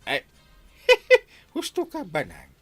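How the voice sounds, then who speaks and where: An actor playing a nervous, excitable village captain who stammers and jumps in pitch. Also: male voice, extremely slow, soft background music, exaggerated voice. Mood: excited. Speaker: radio drama lead.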